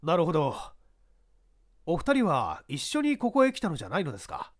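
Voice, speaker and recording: A man playing an inquisitive male police detective, asking brisk, businesslike questions in a mystery story reading. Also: fairly high pitch, huge pitch swings, voice pushed down. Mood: probing.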